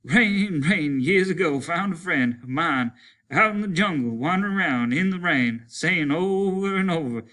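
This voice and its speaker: Man acting Simmons, an excitable older spaceman, high-pitched. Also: moderate pace. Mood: wry, panting. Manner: animated, breathless exclaiming.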